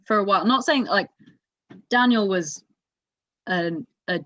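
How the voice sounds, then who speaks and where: A woman's voice: mid-range voice, unhurried, pushed and bright, no room sound, dull audio. A woman chatting casually as a panellist on a motorsport show, giving emphatic, opinionated takes. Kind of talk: casual group discussion.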